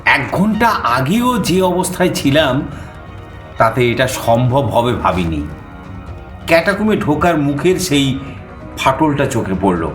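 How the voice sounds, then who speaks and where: Guy telling a story dramatically, lively pitch, middle register, bit echoey, soft music under. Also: a little slow, long pauses. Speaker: storyteller narrator. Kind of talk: dramatized first-person story narration.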